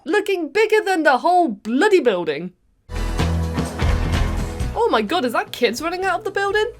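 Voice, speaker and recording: British woman, high voice, playful sarcastic game commentary, talks to viewers, music behind. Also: sing-song, normal speed. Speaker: host and reviewer. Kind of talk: jokey video game review commentary. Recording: background music under voice.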